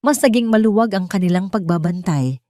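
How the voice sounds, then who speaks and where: A woman with a Filipino accent narrating a dramatic first-person story in an expressive, scripted storytelling manner. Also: mid-range voice, a little fast, dry recording, studio quality.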